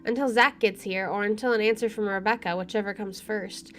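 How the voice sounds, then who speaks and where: A woman streamer reading a visual novel's narration aloud in an expressive, storytelling style. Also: mid-range voice, fairly quick, faint music behind. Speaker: let's play streamer. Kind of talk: expressive read-aloud narration of game text. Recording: faint background noise.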